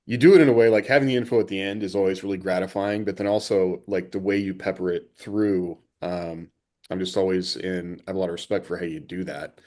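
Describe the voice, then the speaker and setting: Deep-voiced man interviewing casually. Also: fairly even intonation, fast, darkish tone. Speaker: podcast interviewer.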